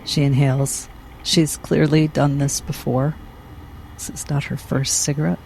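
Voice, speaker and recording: A woman playing a weary, shaken spaceship doctor in a cinematic tabletop roleplay, quiet and restrained. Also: very deep voice, flat delivery, unhurried, a little hiss or hum, exaggerated voice, rough recording. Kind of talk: cinematic tabletop roleplay with third-person narration. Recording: music clearly behind the voice. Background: music.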